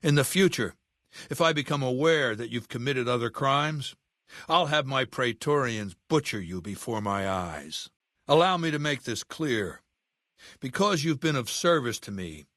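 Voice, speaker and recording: An imperious middle-aged warlord for a video game, with a mid-pitched voice recorded close and dry. He talks down to his listener deliberately, every phrase carrying command and menace. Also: a bit bright, no room sound. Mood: menacing. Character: imperious middle-aged male dictator of a slaver legion. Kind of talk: video game character dialogue.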